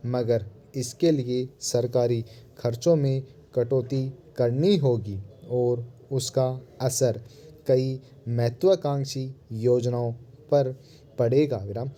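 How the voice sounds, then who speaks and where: Slow, deliberate man reading dictation. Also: mid-range voice, fairly even intonation, dark tone. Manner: measured, deliberate, word groups separated by pauses. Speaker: dictation reader. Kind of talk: slow dictation of a news editorial, punctuation spoken aloud.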